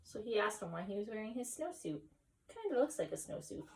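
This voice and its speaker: A woman's voice: mid-range voice, big pitch swings, a little fast, distant mic, a little hiss or hum. A woman reading a picture book aloud to children as a school story time reader, adding simple explanatory asides.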